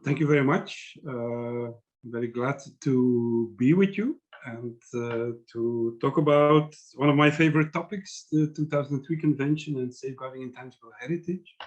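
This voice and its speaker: A man's voice: medium pitch, normal speed. Flemish man lecturing over a dull call line. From Belgium. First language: Dutch. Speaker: guest lecturer. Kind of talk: online academic lecture. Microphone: video call. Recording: muffled online call audio.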